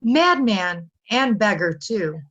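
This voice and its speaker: Woman acting an anxious old tenant, very lively pitch. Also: slow pace, lo-fi sound, full character voice. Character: loyal elderly tenant, anxious and kindly. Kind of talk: remote readers' theatre Shakespeare performance.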